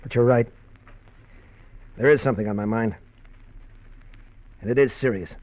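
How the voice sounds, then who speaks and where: A man's voice: medium pitch, moderate pace, dry recording, lightly acted. An actor playing an anxious former crook hiding his past from his wife, in hesitant, earnest 1950s radio drama style. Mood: troubled. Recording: muffled vintage radio broadcast.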